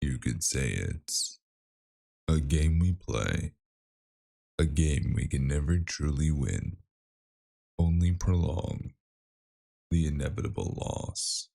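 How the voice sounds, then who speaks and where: A man playing a brooding, fractured test subject in a cyberpunk noir audio drama, speaking in cryptic, halting phrases. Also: very deep voice, vocal fry, heavily acted. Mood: bleak, resigned. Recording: cavernous reverb, distant voice, loud music behind.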